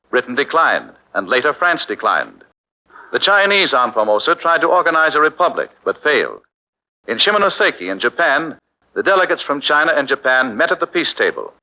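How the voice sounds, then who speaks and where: A man narrating a 1940s radio documentary drama in a formal, declamatory way. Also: mid-range voice. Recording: dull old radio broadcast with faint music.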